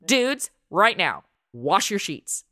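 A woman's voice: mid-range voice, big pitch swings, takes it slowly, sharp tone, heavily acted. A woman playing a sassy, entitled modern princess in a comic radio play, sarcastic, slangy and exasperated. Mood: disgusted.

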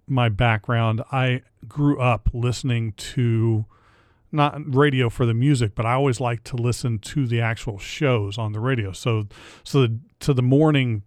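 A man's voice: mid-range voice, steady tone, a little slow, a little mellow, dry recording, studio quality. A man hosting a podcast interview, chatty and curious, often restarting his phrases. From Indiana area. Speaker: podcast host. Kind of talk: casual interview.

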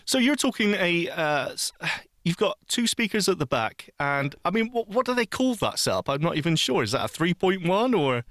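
A man's voice: sits high, very animated, normal speed, a bit bright, dry recording, clean studio sound. A man co-hosting a podcast, asking curious questions in a casual, easy-laughing way. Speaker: podcast co-host. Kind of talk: casual chat.

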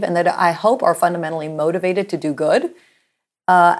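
Gravelly-voiced woman explaining healthcare. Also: lowish voice. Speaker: healthcare executive guest. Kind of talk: conversational interview.

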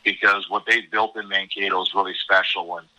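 A low-voiced American man talks through college sports as a guest analyst. His delivery is very bright and forceful, earnest and emphatic, with words often repeated as he builds a point. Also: level tone, a little fast, highs cut off. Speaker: college hockey commentator as podcast guest.

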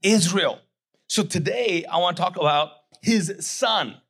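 A middle-aged man, raised in Kuwait, with English as neither his first nor second language, preaches from a church stage. His voice sits a bit on the high side. He keeps it chatty, acts out the voices of imagined people and stops to get the room to answer. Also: unhurried, fairly bright tone, husky. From Pakistani family, raised in Kuwait. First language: not English. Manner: conversational preaching, quoting imagined voices, asks the crowd to answer. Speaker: pastor. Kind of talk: sermon.

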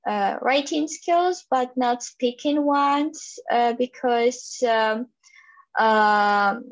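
A woman's voice: on the high side, drawn-out words. A young woman from Ukraine, speaking English with a Ukrainian accent, answering interview questions hesitantly with many fillers and restarts. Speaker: interview guest. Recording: dull lo-fi recording.

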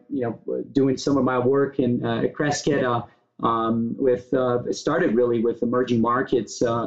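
Flat-voiced Brazilian man, halting. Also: mid-range voice. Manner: halting, many fillers and restarts. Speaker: guest. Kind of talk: interview answers. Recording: dull lo-fi sound.

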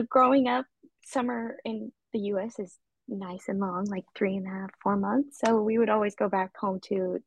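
A young woman speaks as a podcast guest, easygoing and chatty as she recounts growing up between Japan and Washington State. Her mid-pitched voice carries a touch of gravelly fry and sits dry and close on the mic. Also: no room sound, dull audio. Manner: relaxed, friendly storytelling with light laughs. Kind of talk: casual podcast interview.

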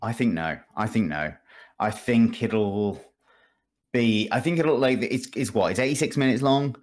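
A man's voice: mid-range voice, measured pace. A man hosting a casual gaming podcast, rambling with dry jokes and swearing freely, close on a desk microphone. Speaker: podcast host. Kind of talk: casual chat about games and films. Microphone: close desk USB microphone.

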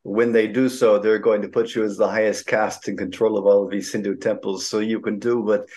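A man's voice: fairly low pitch, level tone, a little fast, a little mellow. A man hosting an interview, asking long, enthusiastic questions full of fillers and restarts. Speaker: podcast host.

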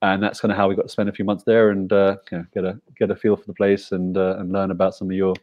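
A man's voice: deep voice, monotone, quick pace, no echo, highs cut off. A British-born man, a startup founder, explaining his app eagerly on a video call and checking in with "right?". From Britain. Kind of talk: casual video call interview.

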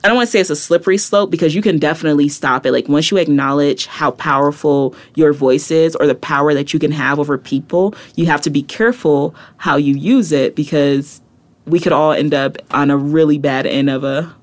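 A woman's voice: sits low, fairly quick. A young adult woman, a poet and activist, talking passionately as a podcast guest, with frequent "like" and "right?" asides. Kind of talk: reflective casual interview talk.

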